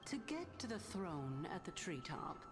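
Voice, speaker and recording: Music plays clearly under a solemn guide from a fantasy game. Her voice sits fairly low, and she speaks in an unhurried, ceremonial way. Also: sing-song. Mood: solemn, measured. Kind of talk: scripted, ceremonial game dialogue.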